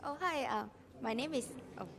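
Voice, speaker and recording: A young woman student from Singapore giving measured, explanatory answers in an interview. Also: high-pitched, animated, vocal fry. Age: young adult. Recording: crowd chatter behind.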